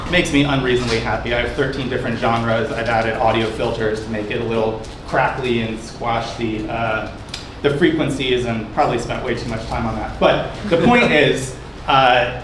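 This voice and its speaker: A man giving a loose, chatty presentation of his app, with lots of fillers and restarts. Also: medium pitch. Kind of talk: casual demo talk. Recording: echoey room with background noise.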